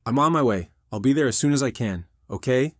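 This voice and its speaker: A man's voice: mid-range voice, animated, a little fast, no echo, studio quality, voice pushed up, natural voice. A man voicing an anguished army veteran who runs a restaurant, narrating his own story in an intense, earnest audio drama style. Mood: urgent, desperate. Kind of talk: dramatic first-person scripted narration.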